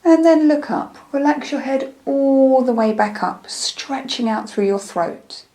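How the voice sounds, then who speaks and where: A woman leading a guided meditation in a gentle, soothing, unhurried manner, with phrases that trail off softly. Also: sits high. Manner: soothing, gentle, unhurried instruction with soft breathy phrase endings.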